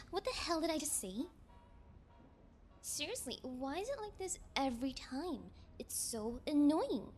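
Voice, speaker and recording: A woman's voice: very high voice, a little hiss or hum, exaggerated voice. A woman voicing a playful, sociopathic young female fiend kidnapper in an ASMR roleplay, with sugary taunts and theatrical pouting. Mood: annoyed, bored.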